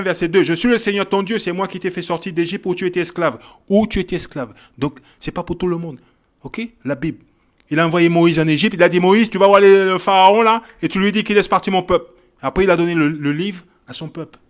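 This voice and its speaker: Male preacher, high voice, emphatic and repetitive, swings from quiet verse reading to loud exhortation. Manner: emphatic, repeats phrases, checks in with "ok?" and "hein?". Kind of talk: Bible teaching sermon.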